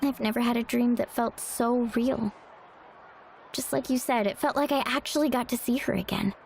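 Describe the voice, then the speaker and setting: Sincere, higher-voiced young woman. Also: steady tone, normal speed, creaky, a little mellow, slight background noise. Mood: tender, reflective. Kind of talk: video game voice acting.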